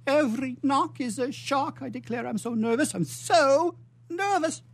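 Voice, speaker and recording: High-voiced, jumpy, nervous assistant. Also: male voice, normal speed. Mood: panicky. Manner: trembling, exclamatory, fretful. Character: nervous, fretful male laboratory assistant, jumpy and quaking. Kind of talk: stage melodrama radio play.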